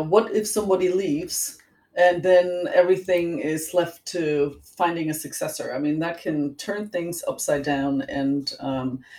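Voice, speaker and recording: A middle-aged woman with a German accent explaining things as an interview guest, in a measured, precise way. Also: sits low, a little mellow. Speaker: interview guest, executive coach. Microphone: online call. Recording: roomy echo, distant microphone.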